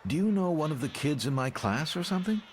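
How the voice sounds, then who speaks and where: A man's voice: on the high side, lively intonation, fast, noisy background, lightly acted. An actor playing a friendly, inquisitive man in a motion comic, chatty, direct and polite. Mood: curious.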